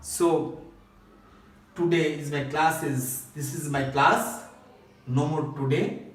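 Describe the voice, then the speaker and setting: Slow Bangladeshi male teacher in an echoey room. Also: a little high, fairly even intonation. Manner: instructional, repetitive, phrase-by-phrase delivery. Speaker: school English grammar teacher. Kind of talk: recorded grammar lesson, step-by-step explanation. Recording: echoey room, distant microphone.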